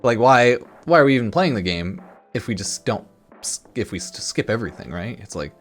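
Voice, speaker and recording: A man's voice: fairly low pitch, expressive, fairly quick. A man giving casual, rambling commentary as a gaming YouTuber, informal and noncommittal, with some mild swearing. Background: music in the background.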